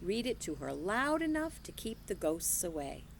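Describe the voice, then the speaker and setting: Teacher lady reading a kids book out loud, slow and lively, bit of fry, faint hum behind. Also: lowish voice. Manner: expressive, unhurried storytelling. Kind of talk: children's book read-aloud.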